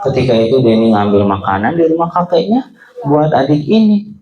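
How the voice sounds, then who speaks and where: A man narrating a story live for children in an animated way, addressing his young listeners directly. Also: mid-range voice, a little fast, lo-fi sound, a crowd in the background. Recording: echoey room.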